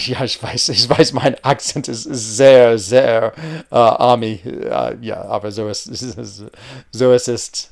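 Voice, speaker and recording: Smooth-voiced American man streaming casually. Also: mid-range voice. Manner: rambling, chatty, hesitant with fillers. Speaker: gaming livestream host. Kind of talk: casual live-stream chat while playing.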